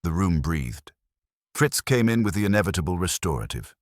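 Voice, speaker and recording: A man's voice: low-pitched, very animated, moderate pace, dry recording, clean studio sound. A man reading scripted first-person detective fiction as a narrator. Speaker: audiobook narrator.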